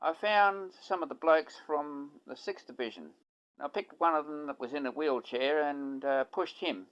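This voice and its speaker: A man's voice: on the high side, normal speed, a bit bright, dull audio, lightly acted. A man playing a wry, polite young Australian soldier back home from the war in a radio play. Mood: polite, easygoing. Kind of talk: amateur radio play dialogue.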